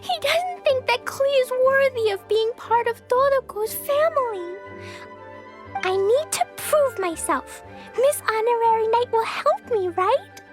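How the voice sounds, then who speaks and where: A woman's voice: very high-pitched, lively intonation, measured pace, faint music behind. A woman voicing an innocent, earnest little girl adventurer in a video game, speaking in a childish manner. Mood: determined. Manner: childish, earnest, refers to self by name.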